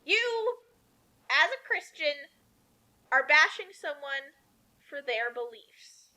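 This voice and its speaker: Casting: female co-host for comedy commentary, bright, high voice, opinionated and emphatic. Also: big pitch swings, slow, rough recording. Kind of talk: opinionated riffing on a read-aloud story.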